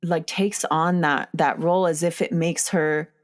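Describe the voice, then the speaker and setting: A young woman hosting a casual film discussion, chatty and often pausing to search for words. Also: sits low, steady tone. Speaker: podcast host.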